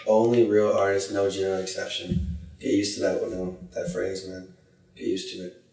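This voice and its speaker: A young man from Hawaii, raised in California, chatting as a podcast guest in a laid-back way, full of "you know" fillers and trailing off quietly. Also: deep voice, level tone, moderate pace, roomy sound, distant mic, poor audio. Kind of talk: casual podcast chat.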